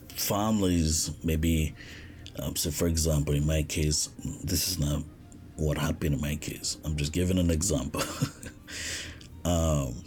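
Podcast host, male, very low voice, unhurried, thinks aloud with pauses and restarts. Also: dark tone. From West Africa. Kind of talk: casual podcast monologue.

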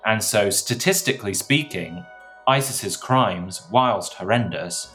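A male analyst giving expert commentary in an interview, measured and emphatic. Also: fairly low pitch, fairly bright tone, soft background music.

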